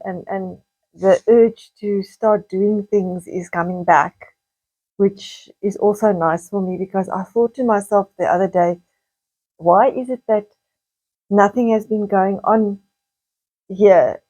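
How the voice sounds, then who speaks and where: A South African woman vlogger talks in a medium-pitched, slightly croaky voice. She chats casually and rambles, often repeating words and wandering off into asides before returning to her point. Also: a little mellow, highs cut off. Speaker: video blogger. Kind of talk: casual chatty vlog monologue.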